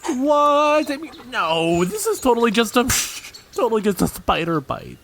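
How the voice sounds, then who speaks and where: A man's voice: very high-pitched, very animated, unhurried, creaky, a bit bright, a little hiss or hum, full character voice. A man playing a goofy, nervy, friendly young man in an audio drama, talking casually and a bit flustered. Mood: nervous.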